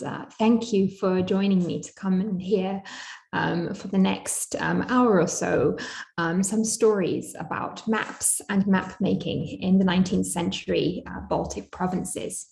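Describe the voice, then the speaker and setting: A young Scottish woman giving an online academic lecture over a video call, measured, with frequent ums. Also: medium pitch, steady tone, vocal fry, a little mellow, reverberant. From Scotland.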